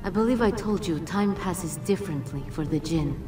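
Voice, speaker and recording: A woman voicing a solemn, regal, ancient female djinn in a video game, speaking in a measured, formal way. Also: fairly low pitch, natural voice. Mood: wistful, grave. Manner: measured, formal, grave delivery. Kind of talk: dramatic video game cutscene acting. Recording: roomy echo, distant, music underneath.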